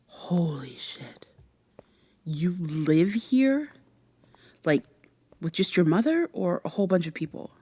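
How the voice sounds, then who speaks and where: A woman playing a guarded, sardonic human woman in a vampire ASMR roleplay, speaking close and breathy, with sarcastic, wary delivery that slips into whispers. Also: on the low side, very animated, takes it slowly, long pauses, mellow, heavily acted. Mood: blunt, amazed.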